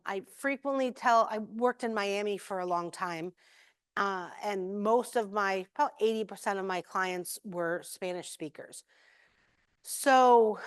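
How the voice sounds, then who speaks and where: An American woman in middle age speaks as a keynote presenter. Her voice sits a little high and is captured dry, with hardly any room sound. She is conversational yet emphatic, telling stories from her work and acting out the exchanges. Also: smooth, no room sound. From New England, USA. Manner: conversational, emphatic, acts out dialogue. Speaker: keynote speaker, occupational therapist. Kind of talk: conference talk with personal anecdotes.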